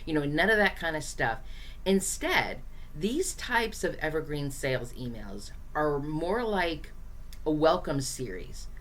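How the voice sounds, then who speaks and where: A hoarse woman coaching small business owners in a casual, chatty teaching style, speaking directly to her viewers with frequent fillers. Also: low-pitched, big pitch swings, a little slow, a bit bright, a little hiss or hum.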